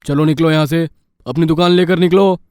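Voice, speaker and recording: Man playing a bullying shop owner, high and aggressive. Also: steady tone, fairly quick, darkish tone, clear, resonant tone, no room sound, studio quality, close to an everyday voice. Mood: angry, threatening. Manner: aggressive, domineering. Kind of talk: Hindi animated moral story dialogue.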